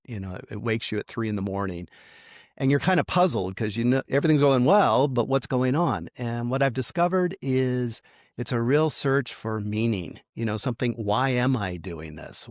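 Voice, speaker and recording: A middle-aged man, a leadership coach, speaking thoughtfully in an interview, measured and reflective with frequent fillers and light laughs. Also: medium pitch, no room sound, studio quality. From United States.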